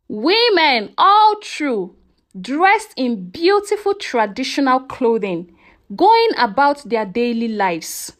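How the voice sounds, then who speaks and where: A woman narrating a scripted folktale in a dramatic storytelling manner, posing questions to her listeners. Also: high voice.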